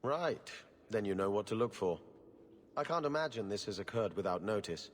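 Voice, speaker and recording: An actor playing a haughty, officious city administrator in a fantasy video game, formal and condescending. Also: male voice, on the low side, animated, moderate pace, slight background noise, natural voice. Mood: dry, guarded. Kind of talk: dramatic video game acting. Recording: long hall reverb.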